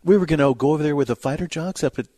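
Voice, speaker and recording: A man's voice: a little high, rapid-fire, warm tone, no echo. A man co-hosting a radio show, chatting casually about movie news. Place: radio studio. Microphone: close studio microphone.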